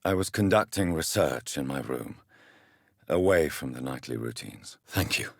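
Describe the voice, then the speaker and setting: A grave physician turned vampire in a period video game. His voice sits very low and has a husky edge. He speaks in formal, articulate phrases with expressive rises and falls, earnest and courteous. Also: moderate pace, dry recording, studio quality, full character voice. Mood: calm, inquiring. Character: grave, courteous male doctor turned vampire, 1910s London. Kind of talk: dramatic video game dialogue.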